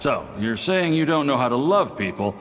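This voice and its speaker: An actor playing a gentle, wry and hopeful companion in a video game, speaking reflectively. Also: male voice, mid-range voice, big pitch swings, normal speed, slight background noise. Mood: gentle reassurance. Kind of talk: video game character dialogue. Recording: long reverb, faint music.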